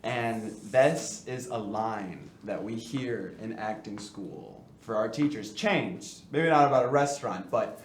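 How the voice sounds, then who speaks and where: Actor answering a Q&A; reflective, low-pitched, echoey stage sound. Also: male voice, measured pace. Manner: earnest, reflective, filler-heavy. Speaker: actor in the cast. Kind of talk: post-show Q&A answer. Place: theater stage. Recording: echoey room with faint noise.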